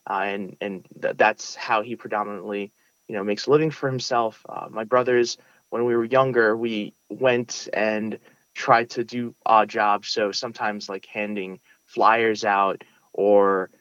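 A man's voice: fairly low pitch, steady tone, a little slow, a bit bright, no echo, dull audio. Earnest young man. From United States (Brooklyn). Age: young adult. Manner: earnest, frequent restarts and fillers. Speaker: interview guest, valedictorian. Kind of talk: casual interview.

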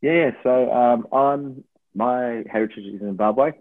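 An Australian man in his twenties with a husky voice, chatting casually and hesitantly as an interview guest, rambling a little as he goes. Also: mid-range voice, takes it slowly. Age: 20s. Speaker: podcast guest, university student. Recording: thin phone-like line with room echo.

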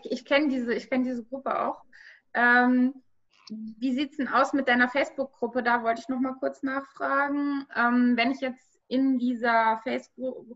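A woman's voice: sits high, vocal fry, fairly bright tone. A woman interviewing a guest, listening attentively and asking follow-up questions. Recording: slightly dull lo-fi audio.

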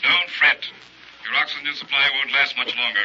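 An actor playing a cold, menacing madman posing as a professor in an old radio horror play, deliberate and domineering. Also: medium pitch, fairly even intonation, pushed and bright, breathy edge. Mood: coolly menacing. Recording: dull old radio recording with noise.

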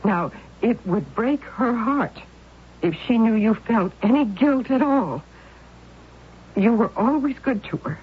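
An actress playing a devoted, anxious aunt in a 1970s radio drama, speaking in a soothing, earnest way. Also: female voice, fairly high pitch, expressive, slow pace, husky, exaggerated voice. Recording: tinny old radio broadcast.

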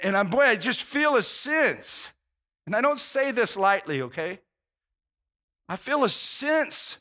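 A man's voice: extremely high pitch, sharp tone. A male pastor preaching a conversational sermon, earnest and informal, often restarting phrases and saying "man".

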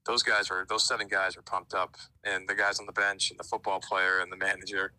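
A man's voice: low voice, level tone, fairly quick, bright, forceful tone, dry recording, poor audio. A young man calling in to a sports radio show, speaking eagerly and emphatically. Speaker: caller, college sports fan.

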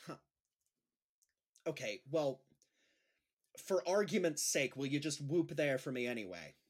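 A man's voice: on the high side, expressive, a little slow. An actor playing a nervous, earnest male gym teacher who speaks awkwardly and hesitantly in an audio drama. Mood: earnest, eager. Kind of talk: naturalistic audio drama dialogue.

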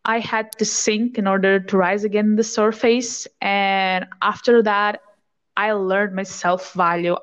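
Earnest young woman on a muffled call. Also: medium pitch. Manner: earnest, reflective. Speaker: podcast guest. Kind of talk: casual interview chat.

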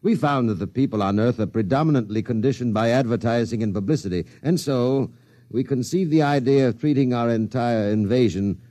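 An actor playing a calm, mocking Martian invader disguised as a man, in a 1950s radio drama. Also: medium pitch, fairly quick, lightly acted. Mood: sinister. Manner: soft, calm, mocking menace. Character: sinister smooth male Martian invader in disguise.

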